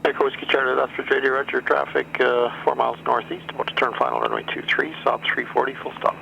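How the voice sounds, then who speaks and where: A man's voice: mid-range voice, expressive, quick pace, creaky, bright, forceful tone, muffled recording. A man giving clipped traffic and wind advisories as a flight service radio operator over aviation radio. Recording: band-limited radio with faint noise.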